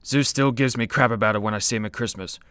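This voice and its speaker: A man's voice: mid-range voice, fairly even intonation, quick pace, pushed and bright, clean tone, no echo, close to an everyday voice. A man playing a grumpy, hard-drinking Greek demigod superhero who gripes dryly in a comedy radio play. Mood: grumbling. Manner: griping, grudging, dry.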